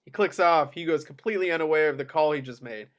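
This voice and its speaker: A man's voice: a little high, fast talker. A man reading screenplay action lines as the narrator at a table read. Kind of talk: screenplay stage-direction narration.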